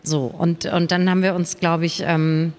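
A woman's voice: lowish voice, little pitch movement, moderate pace, a little mellow. A woman author talking casually and colloquially in a panel discussion.

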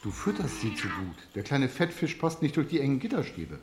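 A man's voice: medium pitch, huge pitch swings, a little fast, a crowd in the background. An actor playing an anxious, sarcastic foster father posing as a pirate in a children's radio play, hesitant and nervously jolly. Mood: doubtful sarcasm. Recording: echoey scene with faint music.

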